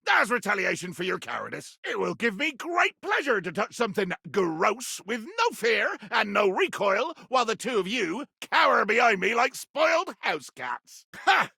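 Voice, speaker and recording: An actor playing a grandiose, menacing pirate captain in a video game, raspy and theatrical, haughty with archaic nautical insults. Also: male voice, sits high, very animated, normal speed, few pauses, sharp tone, no echo, studio quality, exaggerated voice. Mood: scornful, blustering. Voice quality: gravelly, raspy. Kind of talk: video game character acting.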